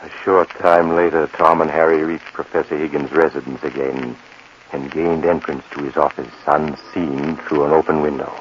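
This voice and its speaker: A man hosting and narrating a scripted 1940s radio mystery in an ominous, measured storytelling style. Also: a bass voice, steady tone. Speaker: host and narrator. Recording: muffled, noisy vintage radio recording.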